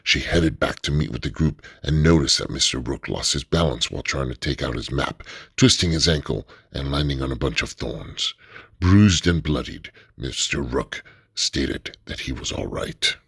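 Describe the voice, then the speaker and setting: A man narrating a radio drama in a steady, measured storytelling manner. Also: a bass voice, gravelly, husky, dry recording, studio quality.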